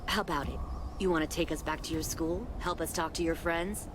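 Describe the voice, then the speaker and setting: A woman's voice: low-pitched, fairly even intonation, brisk, background noise, lightly acted. An actress playing a cold, calculating middle-aged female raider leader in a video game drama, controlled and coolly coercive. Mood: cool coercion.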